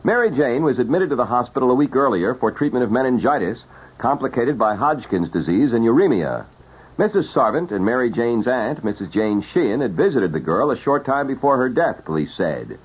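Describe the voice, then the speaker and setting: A man reading a scripted 1970s radio newscast as an anchor, with a formal, measured announcer delivery. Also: medium pitch, big pitch swings, slightly rough. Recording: dull, muffled vintage radio broadcast.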